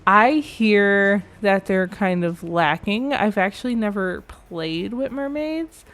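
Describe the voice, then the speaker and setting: A young woman chats casually over her game build, her voice a touch nasal and stuffy. She rambles, second-guesses herself and circles back, at an ordinary middle pitch. Quiet music plays faintly behind her. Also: takes it slowly. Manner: chatty, rambling, self-correcting. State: slightly nasal from allergies.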